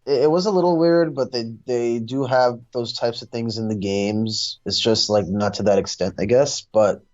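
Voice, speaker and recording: A man hosting a casual film discussion, speaking in a hesitant, halting way. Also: medium pitch, darkish tone, no room sound, muffled recording.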